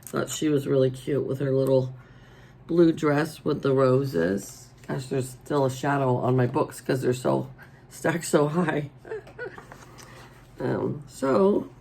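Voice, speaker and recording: A raspy-voiced middle-aged woman hosting a casual show-and-tell, chatty and homey, filmed at her table at home. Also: rumbling low, slow, a little mellow, slight background noise. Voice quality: raspy today. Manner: chatty and homey, many ums. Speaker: coloring channel host. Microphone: overhead camera over the table.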